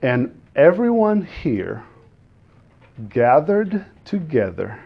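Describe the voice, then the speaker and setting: Portuguese-accented man preaching, slightly higher voice. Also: big pitch swings, vocal fry, husky, lo-fi sound. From Azores, Portugal. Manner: earnest, halting delivery with frequent restarts, asks the congregation questions. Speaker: guest preacher. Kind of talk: sermon.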